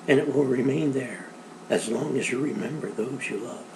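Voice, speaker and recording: A gentle, devout mother speaking in a soft, hushed tone, as a man reads her lines. The voice stays low in volume and close to his own. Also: moderate pace, noisy background, natural voice. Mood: tender.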